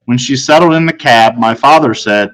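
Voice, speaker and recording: A man's voice: mid-range voice, moderate pace, a little hiss or hum, poor audio. Male narrator, mid-range voice, for a read-aloud of a children's chapter book. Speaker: reader of a children's novel.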